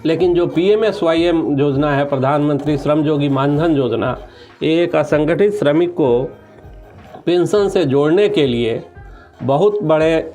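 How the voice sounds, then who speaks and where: A man's voice: a little high, unhurried, mellow. Indian man explaining, music behind. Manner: explanatory, listing points, some English terms mixed in. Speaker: government official, interview guest. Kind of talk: explanatory interview answers.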